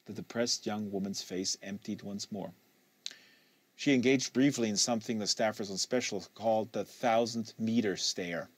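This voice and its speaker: A man reading a literary novel aloud in a measured, deliberate narration. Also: on the low side, husky, dry recording. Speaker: reader.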